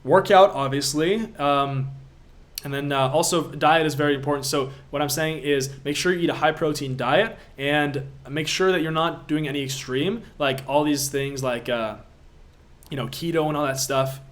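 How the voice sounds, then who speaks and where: A man's voice: fairly high pitch, normal speed. A young man from Latvia, an online business coach answering viewer questions on a live stream, emphatic and pushy, repeating himself for effect.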